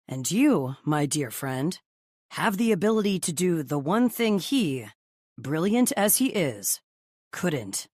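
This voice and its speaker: A man's voice: on the high side, big pitch swings, takes it slowly, darkish tone, no room sound, clean studio sound, full character voice. An actor voicing an admiring male office friend, earnest and complimentary, in audiobook dialogue.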